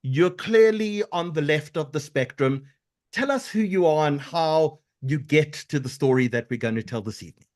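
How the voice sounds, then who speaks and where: A man hosts a live webinar interview over a video call. His voice sits a little high. He talks in a chatty way, often doubling words as he thanks sponsors and guests. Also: normal speed. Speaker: webinar host.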